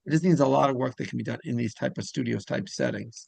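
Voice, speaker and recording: A man's voice: mid-range voice, quick pace, gravelly, darkish tone, slightly rough, no echo. A man hosting a casual interview in a rambling, repetitive way, often trailing off mid-thought. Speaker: interviewer and show host.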